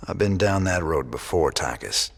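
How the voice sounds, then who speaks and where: An actor playing a world-weary, principled middle-aged rebel commander with a laconic, folksy Southern US drawl in a video game. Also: male voice, lively intonation, normal speed, exaggerated voice. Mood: weary, resigned. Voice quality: low, gravelly. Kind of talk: video game cinematic acting.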